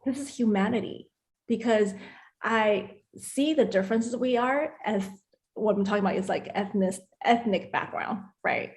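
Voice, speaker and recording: A woman's voice: mid-range voice, moderate pace. A woman from Taiwan hosting a casual spiritual conversation, effusive and talking in short bursts with frequent check-ins. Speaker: host of a spiritual conversation series.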